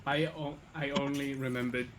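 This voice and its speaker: A man chatting casually as co-host of a game stream, teasing and dryly ironic, with halting phrasing. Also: mid-range voice, little pitch movement, noisy background. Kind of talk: casual gameplay chat.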